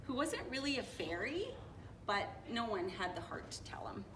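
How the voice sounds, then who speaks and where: A woman reading a children's story aloud in an expressive, playful way, with casual asides. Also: mid-range voice, normal speed. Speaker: storybook reader. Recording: echoey room with faint noise.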